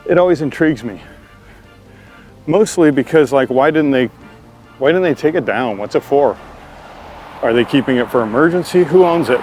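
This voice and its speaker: A man's voice: mid-range voice, a little mellow. A man hosting a casual walking vlog outdoors, talking in an informal, rambling, stop-and-start way. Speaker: host of his own vlog. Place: outdoors on a walk by a road.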